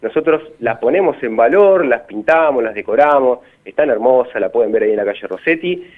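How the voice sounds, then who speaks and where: A man speaks over a narrow, tinny phone connection with a Buenos Aires accent and a mid-pitched voice. He answers as an interviewed community activist, earnest and emphatic, circling back on phrases to stress them. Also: lively intonation, brisk. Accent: Buenos Aires Argentine Spanish. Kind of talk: spontaneous radio interview answers. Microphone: phone call.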